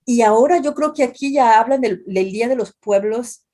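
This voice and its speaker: Thoughtful Mexican woman, mellow voice. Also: on the high side, normal speed. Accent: Mexican Spanish. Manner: thoughtful, many restarts and tag questions. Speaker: translation professor, guest. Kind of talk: academic interview talk.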